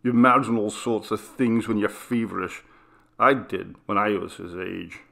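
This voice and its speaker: Gruff dad cutting in, man reading it, low and gravelly, clipped. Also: steady tone, moderate pace, pushed and bright. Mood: brusque. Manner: curt, brusque. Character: gruff dismissive father behind his newspaper.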